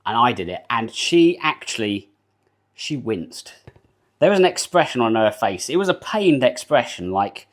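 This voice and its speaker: A man's voice: mid-range voice, sing-song, moderate pace. A British man streaming live from his home kitchen while baking, rambling casually, laughing often and answering his chat. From United Kingdom.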